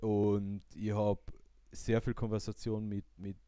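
Halting Austrian man with a lowish voice. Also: level tone, warm tone, clear, resonant tone, dry recording, clean studio sound. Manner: halting, searching for words, repeating himself. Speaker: podcast co-host. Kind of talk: casual chat.

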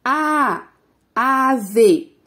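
A woman voicing a young schoolteacher slowly drilling letters with a class in a storybook. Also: high voice, expressive, pushed and bright, full character voice. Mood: teaching. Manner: slow, clear classroom drilling.

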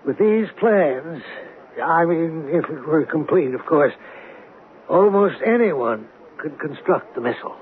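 High-pitched, flustered company president. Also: male voice, lively intonation, measured pace. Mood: worried, defensive. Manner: hesitant, anxious, defensive businessman. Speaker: actor in a radio mystery drama. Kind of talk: 1970s radio drama acting. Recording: tinny, noisy old radio recording.

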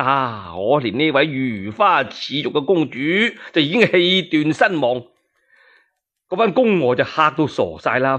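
A man tells a historical story in colloquial Cantonese. His voice is somewhat high for a man, and he narrates theatrically. Rhetorical questions and asides draw the listener in. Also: fairly bright tone.

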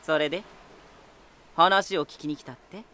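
An actor playing a teasing, talkative lighthouse spirit in an anime-style voice drama, boyish and self-assured with an unsettling edge. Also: male voice, on the high side, steady tone, normal speed, sharp tone. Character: playful male lighthouse spirit, teasing, talkative, eerily battle-hungry.

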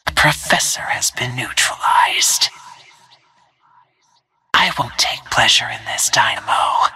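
An actress playing a cold, ruthless female assassin in a video game, speaking in a breathy, menacing, self-assured way with clipped phrases. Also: a bass voice, slow, bright, forceful tone, no echo, exaggerated voice. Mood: coolly dismissive. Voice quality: breathy, whispery. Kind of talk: video game character voice lines.

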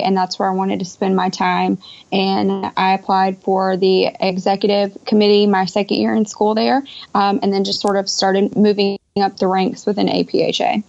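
A woman in her thirties explains things as an interview guest, keeping her intonation very even. The sound is dry and a little lo-fi, as from an internet call. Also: mid-range voice, no room sound. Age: 30s. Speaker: podcast guest, pharmacist. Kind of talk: conversational interview answers. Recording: slightly dull online-call audio.

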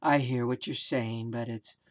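Low-pitched, very slow, gloomy sulking fish, drawn-out words, storybook character, acted by a woman. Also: steady tone. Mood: glum, resigned. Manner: slow, drawn-out, mopey delivery.

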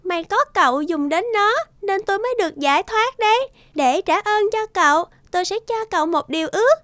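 A woman voicing a tiny cheerful girl fairy in an animated cartoon, childlike, exuberant and a little wistful. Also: very high-pitched, fairly quick, no room sound, studio quality. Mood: friendly, earnest.

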